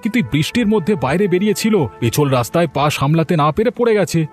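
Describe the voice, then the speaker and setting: A man's voice: a little high, expressive, fast, darkish tone, close to an everyday voice. Grave, concerned village man as acted by a man. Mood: grave, worried. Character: concerned village friend bringing grave news. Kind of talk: Bengali cartoon voice acting. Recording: music behind the voice.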